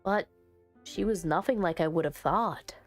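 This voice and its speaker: A woman doing let's play commentary on a visual novel, reading it aloud and chatting with expressive, playful asides. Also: fairly low pitch, unhurried. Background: soft music.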